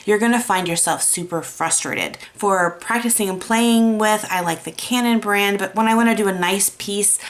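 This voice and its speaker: A woman with a raspy voice gives a chatty, encouraging watercolor lesson. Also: medium pitch. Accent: American. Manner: encouraging, chatty, self-deprecating. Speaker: watercolor art teacher. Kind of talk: casual tutorial talk.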